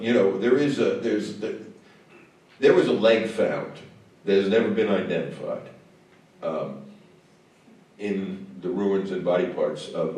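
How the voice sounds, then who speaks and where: A man's voice: low voice, darkish tone. Man speaking hesitantly in an echoing hall. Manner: halting, many fillers and restarts. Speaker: film director in post-screening Q&A. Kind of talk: on-stage conversation. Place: stage in a screening venue. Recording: echoey hall, mic far off.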